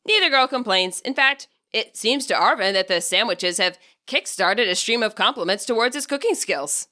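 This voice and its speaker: A woman's voice: on the high side, expressive, normal speed, bright, forceful tone. A woman reading scripted story narration expressively, as the narrator of her own audiobook. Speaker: audiobook narrator and fan fiction author.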